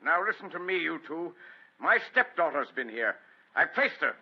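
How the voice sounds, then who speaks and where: An actor playing a menacing, domineering English doctor in a radio drama, with a gravelly, blustering delivery. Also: male voice, fairly high pitch, big pitch swings, normal speed, heavily acted. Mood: furious, bullying. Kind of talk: vintage radio drama acting. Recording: dull, lo-fi radio recording.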